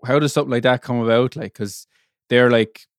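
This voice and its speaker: A man hosting a music podcast, asking halting, friendly questions in a casual chat. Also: mid-range voice, fairly even intonation, no room sound, studio quality. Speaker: podcast host. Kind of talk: scripted intro then casual interview.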